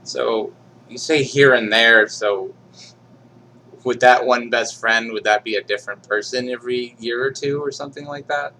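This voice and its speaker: A man hosting a casual interview, asking questions in a relaxed, conversational way with frequent fillers. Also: mid-range voice, moderate pace, rough recording. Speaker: interviewer running typing sessions. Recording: faint hum behind.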